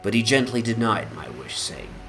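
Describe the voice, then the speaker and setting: A man narrating literary fantasy as a dramatic audiobook reading, in a measured, solemn and theatrical manner. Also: lowish voice, very animated. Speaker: narrator, reader. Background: atmospheric music.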